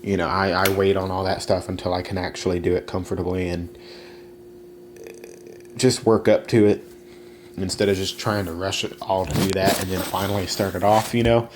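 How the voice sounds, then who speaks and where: A man's voice: low-pitched, flat delivery, normal speed, background noise. A young man hosting a casual solo podcast, rambling with many fillers and restarts. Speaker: podcast host.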